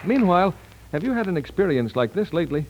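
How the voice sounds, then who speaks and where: Animated, high-voiced American radio announcer man. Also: fast, a crowd in the background, background noise. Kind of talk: scripted 1940s radio announcing and advertising. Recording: band-limited 1940s radio broadcast recording.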